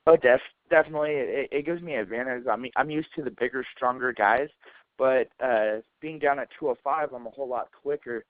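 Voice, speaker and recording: A man, a professional fighter, giving an informal, halting interview answer over a phone conference line. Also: mid-range voice, dry recording, phone-quality sound.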